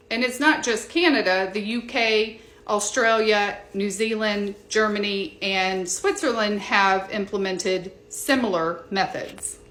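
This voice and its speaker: A woman with an American accent presenting explanatory commentary in a measured, informative way. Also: medium pitch, a bit bright. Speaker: presenter of an aviation discussion. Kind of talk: explanatory scripted commentary to viewers. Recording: echoey, reverberant room.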